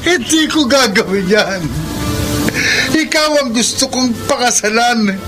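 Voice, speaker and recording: An actor with a Filipino accent playing a remorseful, devoted young lover in a radio drama. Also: male voice, very high voice, animated, measured pace. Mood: desperate pleading. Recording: loud music under the voice.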